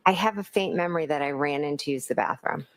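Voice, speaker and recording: A woman's voice: deep voice, fast. A woman giving courtroom testimony as a witness, hesitant and unsure in her answers. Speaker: witness under cross-examination.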